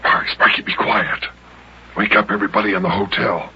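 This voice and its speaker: A man's voice: lowish voice, steady tone, a little fast, bright, forceful tone, breathy edge, heavily acted. A man with an American accent playing a patient, fatherly storyteller in a 1950s children's radio show. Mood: mild chiding. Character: Big Jon, patient fatherly grown-up storyteller and companion. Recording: muffled old radio recording, music behind.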